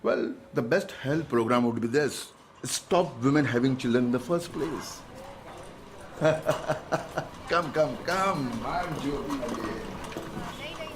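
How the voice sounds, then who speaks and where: An actor playing a slippery, jovial hospital doctor with an Indian accent and a breezy, joking manner, in a naturalistic radio drama. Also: male voice, medium pitch, lively intonation, slow, long pauses. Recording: noisy background ambience.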